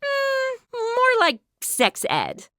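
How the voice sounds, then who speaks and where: A sassy modern woman played by an actress in a comedic radio play. Her voice is high, bright and very animated, with an irreverent, sardonic way of tossing off slangy remarks. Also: drawn-out words, no room sound, studio quality, exaggerated voice. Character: sassy, wisecracking modern woman among space crew. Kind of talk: comedic audio drama acting.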